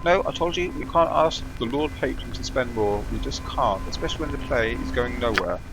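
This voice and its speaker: A man reading out a flustered theatre manager in a fantasy game, worried and dramatic, repeating himself as he laments a ruined play. Game music is loud behind him. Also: mid-range voice, fairly quick, lightly acted, rough recording. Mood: exasperated.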